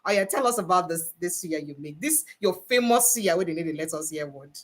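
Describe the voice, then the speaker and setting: A woman with a Nigerian accent and a husky voice, hosting a casual interview in English and Pidgin with a playful, teasing manner. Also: fairly low pitch, lively intonation, brisk. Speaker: host.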